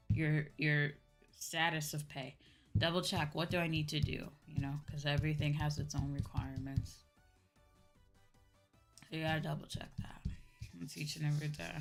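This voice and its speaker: A woman's voice: deep voice, a bit bright. A woman streamer chatting casually and giving advice in a conversational way, often repeating herself for emphasis. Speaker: streamer host. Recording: background music. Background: music.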